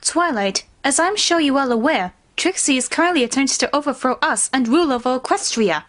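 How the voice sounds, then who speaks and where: A woman's voice: high voice, lively intonation, brisk, fairly bright tone. A woman voicing a formal, dignified female pony princess of the night in a cartoon fan fiction reading. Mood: explanatory.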